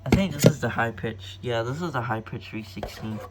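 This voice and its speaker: A man's voice: mid-range voice, brisk. Rambling American man, noisy home recording. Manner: rambling, hesitant, self-correcting. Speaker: radio collector showing off collection. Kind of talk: casual, unscripted show-and-tell. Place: indoors at home.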